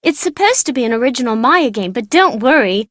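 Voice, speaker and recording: A woman's voice: on the high side, expressive, brisk, a bit bright, dry recording, studio quality. A woman voicing a playful, mischievous British schoolgirl in a video game, chatty and childlike. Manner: childlike, informal, bargaining. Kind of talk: video game character dialogue.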